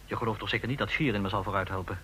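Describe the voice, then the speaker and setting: A man's voice: lowish voice, fairly even intonation, very fast, sharp tone. An actor playing an ambitious, frustrated junior intelligence man, insistent and pressing, in a radio play. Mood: resentful, eager. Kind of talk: Dutch radio play acting. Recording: hissy old radio play recording.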